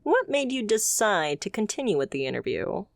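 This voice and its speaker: A woman's voice: medium pitch, big pitch swings, normal speed, creaky, no room sound, clean studio sound. A woman playing a confident, haughty supervillain boss in a scripted ASMR roleplay, speaking with teasing, condescending poise. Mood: teasing, intrigued. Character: confident female supervillain boss, haughty yet playfully amused.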